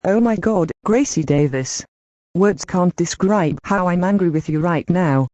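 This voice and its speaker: A man's voice: high voice, a little slow, a little mellow, no echo, lo-fi sound, voice pushed up. A man voicing an angry young female witness in a raised put-on voice. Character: angry young woman witness, high-pitched.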